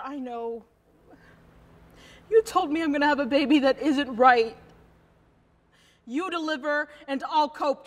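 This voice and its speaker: A woman's voice: high voice, fairly bright tone, distant mic. A young woman acting a dramatic monologue as a wry, defiant woman in labour, breathless and pleading. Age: young adult. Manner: dramatic, breathless, with sardonic asides. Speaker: student actor. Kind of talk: theatrical monologue performance.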